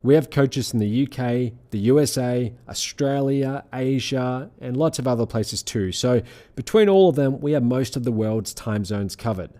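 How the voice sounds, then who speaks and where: A man reading a scripted sales pitch as presenter, in an earnest, measured, persuasive manner. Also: dark tone. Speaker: presenter of an online money-making sales pitch.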